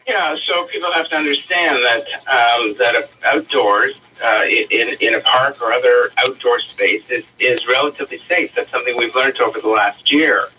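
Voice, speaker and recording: A man answering radio interview questions as a city councillor, in a halting, measured, diplomatic way. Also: mid-range voice, sharp tone. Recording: dull distant radio playback, faint noise.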